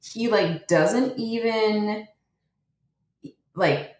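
A woman's voice: medium pitch, drawn-out words, echoey room. A woman chatting casually about a book, retelling its stories with sarcasm and many fillers. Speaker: podcast co-host.

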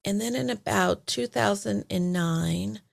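A woman's voice: medium pitch, a little slow, gravelly. A woman from El Salvador, a certified life coach, talking reflectively in a casual interview with many fillers and restarts.

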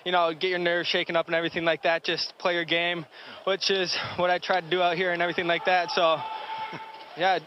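Young male athlete, high flat quick delivery, dull outdoor recording with traffic noise. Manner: rushed, repeats a stock filler phrase. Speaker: youth hockey player. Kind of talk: post-game interview.

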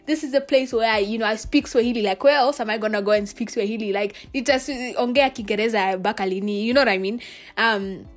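A woman's voice: fairly high pitch, fairly even intonation, fairly quick, faint music behind. Chatty young Kenyan woman vlogging. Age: young adult. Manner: chatty, full of "like" and "you know", earnest. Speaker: vlogger, international student. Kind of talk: casual reflective monologue to camera.

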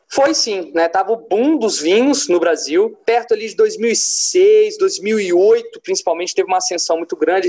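A man's voice: sits high, a little mellow. A man with a Minas Gerais accent, an interview guest who explains his business in an easygoing way and often tags his sentences with a question. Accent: Minas Gerais Brazilian. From Brazil, born in Brasília. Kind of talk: relaxed interview answers.

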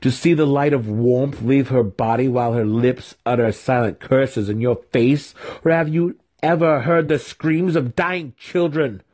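A man voicing a veteran dark elf weapon master, scornful and derisive, taunting his pupil in a fantasy audiobook. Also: medium pitch, lively intonation, unhurried, slightly rough, pitched above the performer's own voice.

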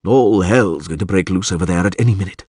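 A man voicing a grave, knowing male character in an audiobook, who explains and warns in a measured, ominous way. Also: low-pitched, a little mellow, husky, no room sound, studio quality. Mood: gloomy warning.

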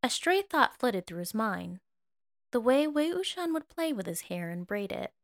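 A woman reading scripted fiction as narrator, in a measured, expressive manner. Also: on the high side, no echo, studio quality. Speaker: narrator, fan fiction reader.

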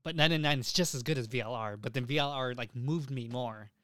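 Clear-voiced, emphatic young man. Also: medium pitch, quick pace, a bit bright, dry recording, clean studio sound. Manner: profane, emphatic, repeats himself. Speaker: podcast host. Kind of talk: casual opinionated chat.

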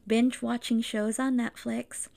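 A woman in her thirties, born in the Philippines and raised in Los Angeles, reading a scripted podcast introduction as co-host in an earnest, measured way. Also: a little high, level tone, vocal fry, darkish tone. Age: 30s.

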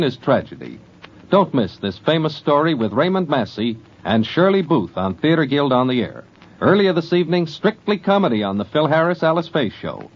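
A male 1940s radio announcer reads scripted promos with lively swings of pitch and a slightly husky tone. The recording is a dull, muffled old broadcast.

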